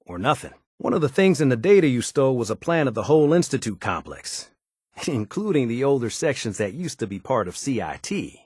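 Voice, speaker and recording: A man's voice: medium pitch, no room sound, studio quality. An actor playing an easygoing, wry wasteland handyman in a video game, with a folksy, slangy way of talking. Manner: folksy, colloquial, drawling slang. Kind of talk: video game character dialogue.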